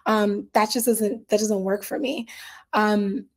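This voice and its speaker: A woman's voice: mid-range voice, steady tone, slow pace. A woman from the Dominican Republic giving an earnest, candid summit talk, with frequent fillers and restarts. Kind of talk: conversational online presentation.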